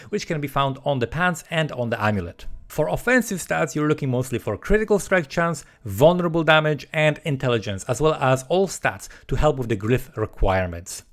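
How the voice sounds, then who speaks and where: A man's voice: medium pitch, fairly bright tone. A man presenting a scripted video game guide as a voice-over, explaining step by step and addressing the viewer directly. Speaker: gaming video creator presenting a build guide.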